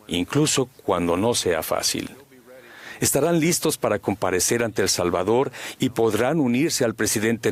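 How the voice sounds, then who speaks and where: Brief: male interpreter, low pitch, calm reverent sermon delivery.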